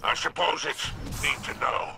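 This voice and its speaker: An actor voicing a sly, mocking alien crime boss in a video game, with a gravelly, coy drawl. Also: male voice, a little high, normal speed, very noisy. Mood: amused, probing. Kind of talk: video game character acting. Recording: music behind.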